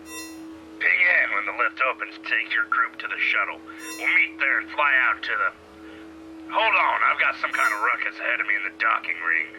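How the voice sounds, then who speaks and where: A man playing a gruff, irritable pirate crewman who swears while giving orders. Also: on the low side, lively intonation, normal speed, over music, natural voice, poor audio. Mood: irritated.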